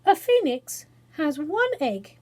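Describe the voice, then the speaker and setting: A woman's voice: high voice, very animated, slow pace, darkish tone, pitched above the performer's own voice, full character voice. A woman voicing a proud, grave magical bird in a children's storybook, speaking in a stately way. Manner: grave, stately, self-important.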